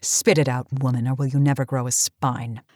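A deep, husky-voiced queen of cosmetics, middle-aged and vain, rules the room with cutting, sardonic commands. The audio drama performance is campy and recorded dry and close. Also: level tone, normal speed, darkish tone, dry recording. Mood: commanding. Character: imperious aging cosmetics queen, sharp-tongued and vain.

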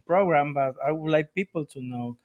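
A man hosts a radio interview in a somewhat high voice. He is eager and quick to exclaim wow or laugh along. He often repeats a word or two before his questions come out. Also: a little mellow, highs cut off. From Ecuador. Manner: repeats words when starting a question. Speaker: radio show host. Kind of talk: casual interview.

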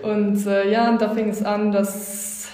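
A woman's voice: medium pitch, flat delivery, a little slow, warm tone, slight background noise. A woman in her twenties from Belgium, answering interview questions hesitantly with many fillers, recorded in an office. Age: 20s. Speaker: guest, coaching client. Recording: echoey room with long reverb.

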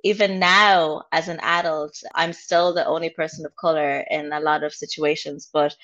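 A woman's voice: low voice, level tone, moderate pace, fairly bright tone. An Irish woman speaking as a podcast guest, talking earnestly and thinking aloud with frequent restarts. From Ireland. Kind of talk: reflective personal conversation. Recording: dull lo-fi sound.

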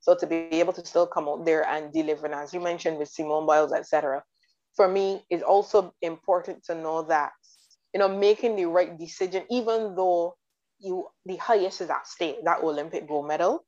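A woman from Barbados chatting about sports in detail, enthusiastic and quick to laugh. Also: fairly low pitch, fairly even intonation, a little mellow. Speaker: guest commentator. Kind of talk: casual sports chat. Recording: dull lo-fi audio.

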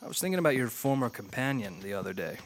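An actor playing a calm, philosophical, long-lived gentleman in a radio drama, speaking in formal, measured phrases. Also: medium pitch, a little hiss or hum. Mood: reflective. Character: eloquent, philosophical ageless gentleman, calm and earnest.

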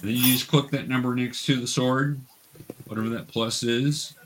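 A man with a mid-pitched voice acts as game master for young beginners at a tabletop game. He instructs patiently, step by step, and keeps the play moving as he explains. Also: fairly even intonation, normal speed, slight background noise, rough recording.

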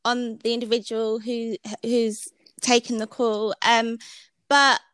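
A woman hosting a podcast, interviewing a guest on a call, bubbly and quick to giggle. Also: on the high side, flat delivery, fairly bright tone, smooth, no echo. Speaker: podcast host. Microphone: remote call.

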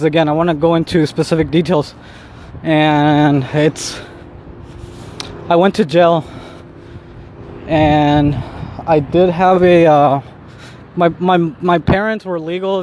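A man's voice: fairly high pitch, steady tone, a little slow, pauses often, darkish tone. A man in his thirties, born in Mexico and raised in Southern California, talking to himself in a loose, rambling way with lots of fillers while walking home. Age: 30s. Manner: rambling, frequent fillers and restarts, self-deprecating. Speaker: vlogger. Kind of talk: casual walking monologue. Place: outdoors on a street, walking home. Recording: outdoor street ambience with traffic. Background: faint street and traffic noise.

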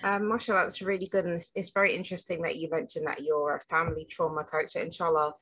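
Very low-voiced woman podcast host. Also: little pitch movement, quick pace, husky. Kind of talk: podcast intro and interview hosting. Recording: slightly dull, muffled audio.

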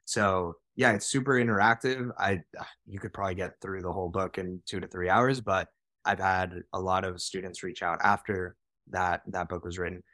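This is a young man in his twenties with a somewhat low voice. He tells his story as a podcast guest, quick and casual, and he keeps dropping in "like" and "right?". The recording is dry and close. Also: fairly even intonation, pushed and bright, no room sound. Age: 20s. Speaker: podcast guest, real estate director. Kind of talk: conversational storytelling.

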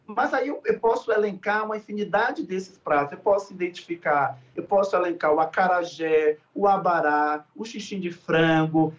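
A man's voice: high-pitched, moderate pace, hardly any pauses. A man from Salvador, a podcast guest talking in an earnest, reflective way with many fillers. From Salvador, Bahia, Brazil. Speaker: guest, food researcher. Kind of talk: podcast interview.

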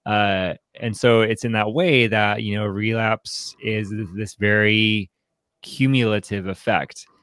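Male podcast co-host, low register, reflective and halting book discussion, very dry recording. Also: fairly even intonation, a bit bright, no room sound. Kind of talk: conversational book commentary.

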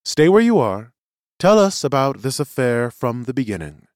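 A man's voice: medium pitch, very animated, normal speed, darkish tone, dry recording, studio quality, pitched above the performer's own voice, close to an everyday voice. A man voicing a stern, commanding female seer and serpent judge in an audiobook, with imperious formal orders.

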